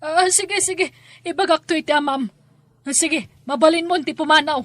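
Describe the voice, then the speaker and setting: A woman's voice: sits high, moderate pace, dry recording, close to an everyday voice. An actress playing a stubborn, bitter middle-aged wife and stepmother in an Ilocano drama, with an Ilocano accent. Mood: curt, evasive. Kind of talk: Ilocano radio-style drama acting.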